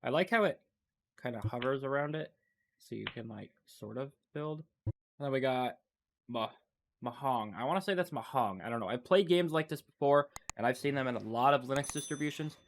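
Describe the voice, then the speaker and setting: A man with a blocked, stuffy nose talks in a relaxed, unscripted way as he walks through software on screen. He thinks aloud, wonders about things and trails off mid-thought. His pitch sits in an ordinary middle range. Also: brisk. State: stuffy, congested from a cold. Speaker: tech channel host. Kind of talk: casual screen-recording commentary.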